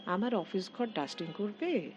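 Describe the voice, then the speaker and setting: A woman's voice: medium pitch, very animated, moderate pace, music in the background, lightly acted. A woman reading the part of a curt, irritable middle-aged businessman husband in a short story. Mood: calm. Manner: curt, demanding.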